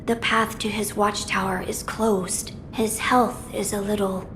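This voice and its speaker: A woman playing a noble young boy lord in a video game, speaking formally, gently and deliberately. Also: mid-range voice, steady tone, over music, natural voice. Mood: earnest, resolute. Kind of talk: dramatic video game dialogue.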